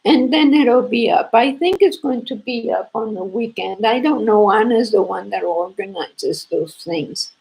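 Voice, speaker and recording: A woman with a sore throat and congestion, hosting a casual live knitting lesson and explaining stitches slowly, with frequent restarts. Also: mid-range voice, lively intonation, a little mellow, poor audio. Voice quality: gravelly, husky. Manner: slow, repetitive explaining with restarts.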